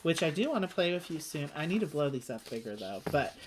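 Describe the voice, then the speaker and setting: A woman's voice: low voice, big pitch swings, fast, slight background noise. A woman with a slight lisp, chatting casually as she explains and plays a tabletop game. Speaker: host teaching a board game playthrough. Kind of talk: casual chat while playing a roll-and-write game.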